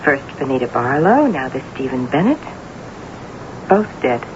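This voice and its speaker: An actress playing a cool, scheming, domineering mistress in a radio mystery drama, speaking deliberately and insistently. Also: female voice, rumbling low, very animated, heavily acted. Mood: dismissive, insistent. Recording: noisy, muffled old radio broadcast recording.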